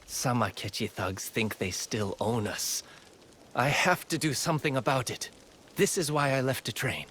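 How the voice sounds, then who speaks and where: Casting: male actor as eager young villager-turned-fighter, sincere, mid-pitched, game drama, faint background noise. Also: moderate pace, fairly bright tone, close to an everyday voice. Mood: earnest, resolute. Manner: earnest, eager. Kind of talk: video game cutscene acting.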